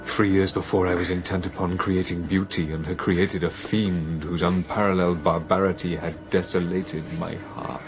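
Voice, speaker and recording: A haunted man of science in a gothic radio play. The voice is on the low side, formal and literary, with prominent music behind it. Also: little pitch movement, moderate pace, few pauses. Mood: grave, haunted. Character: haunted, educated gentleman scientist narrating his dread. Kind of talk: gothic radio drama narration and dialogue.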